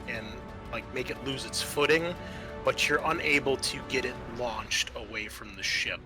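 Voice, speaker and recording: Bright-voiced man running a tabletop game. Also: medium pitch. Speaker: game master. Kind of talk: narrating a tabletop roleplaying game, improvised. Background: music.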